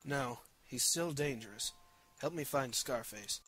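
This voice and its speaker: A man voices a timid, fussy puppeteer crook for a superhero fan dub. The voice is medium in pitch and bright, prim and nervous, with soft music behind. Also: a little slow, breathy edge. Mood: anxious.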